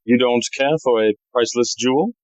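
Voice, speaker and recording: Man playing a wry, guarded thief, medium pitch. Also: a little fast, highs cut off, natural voice. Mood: wry, defensive. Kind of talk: stage-like audio drama acting.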